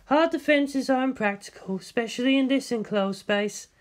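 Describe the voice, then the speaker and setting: A woman's voice: high voice, moderate pace, pitched above the performer's own voice, lightly acted. Woman playing a tense, clinical female scientist. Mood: dull explaining. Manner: terse, technical.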